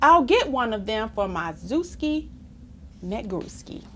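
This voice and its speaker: A woman reads a children's rhyming book aloud with theatrical flair. Her voice sits fairly high and swings widely in pitch, and she takes her time over the lines. She chats to young listeners as she goes. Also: a little slow, slight background noise. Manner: expressive, theatrical, chatty asides to young listeners. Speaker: storybook reader.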